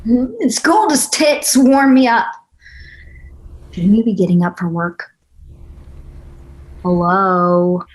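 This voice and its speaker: Slow-paced, brash young girlfriend played by an actress. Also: medium pitch, lightly acted, poor audio. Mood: teasing, probing. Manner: brash, foul-mouthed banter. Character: Ames, blunt, teasing, eager young girlfriend. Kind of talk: screenplay table read.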